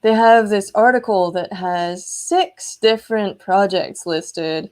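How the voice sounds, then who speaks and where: A woman's voice: medium pitch, takes it slowly. A woman hosting a casual live electronics demo, chatty and enthusiastic as she explains hardware. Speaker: host of an electronics prototyping livestream.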